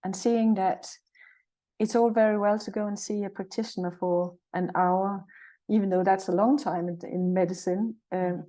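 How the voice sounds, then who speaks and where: A woman in her sixties with a Danish accent, speaking as an interview guest. Her voice is mellow and soft-edged. She talks in a friendly, cheerful way, thinking aloud as she goes. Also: medium pitch, fairly even intonation, moderate pace, rough recording. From Jutland, Denmark. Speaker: guest, naturopath and herbalist. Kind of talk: conversational interview.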